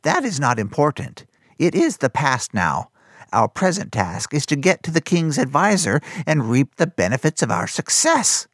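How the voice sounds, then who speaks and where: A cruel old master of a storybook, put on by a male narrator, screeches and barks in a voice pitched far above the reader's own. He sneers and scolds with contempt, his tone leaping about. The sound is dry and close. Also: sing-song, normal speed, fairly bright tone, no room sound. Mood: harsh scornful. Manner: harsh, barking, contemptuous.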